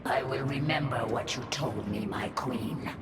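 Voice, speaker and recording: A fierce insectoid broodmother in a video game, loyal and hungry for battle. She speaks formally and deliberately, echoing from a distance under loud music. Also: very deep voice. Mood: fierce devotion.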